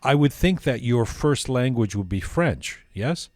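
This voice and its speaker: A man's voice: medium pitch, lively intonation, unhurried, a little mellow, dry recording, clean studio sound. A man hosting a podcast, reading a scripted introduction and then asking playful, teasing follow-up questions. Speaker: podcast host.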